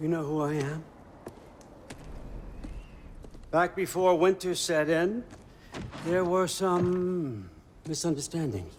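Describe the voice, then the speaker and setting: An actor playing a shrewd older Norse chief god in a video game, glib and casually threatening in a wry, conversational way. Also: male voice, fairly high pitch, a little slow, darkish tone, close to an everyday voice. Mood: measured menace. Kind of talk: cinematic video game acting. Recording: faint background noise.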